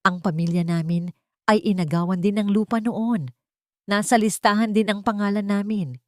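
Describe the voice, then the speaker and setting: A woman's voice: medium pitch, normal speed, no echo, studio quality, voice pushed up, natural voice. A woman storyteller voicing an angry, wronged old woman with a trembling voice in an audio drama.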